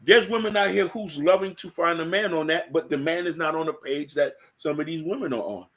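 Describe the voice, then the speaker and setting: A man's voice: a little high, brisk, a bit bright. A man hosting an opinionated talk show, emphatically putting rhetorical questions to listeners. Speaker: podcast host.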